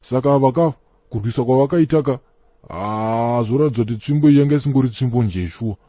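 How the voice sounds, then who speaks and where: A man's voice: medium pitch, quick pace, warm tone, no room sound, studio quality, natural voice. An actor voicing a Zimbabwean cartoon church elder who lectures his friend in an earnest tone. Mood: lecturing. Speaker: cartoon character, friend of Chikombera. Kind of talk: comic cartoon dialogue.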